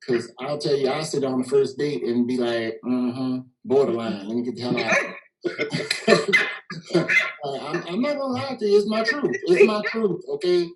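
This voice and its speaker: A man's voice: mid-range voice, moderate pace, rough recording. A man with a heavy Louisiana and Texas Southern accent, hosting a panel in a loose, joking way full of slang. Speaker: host. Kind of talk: casual hosted chat.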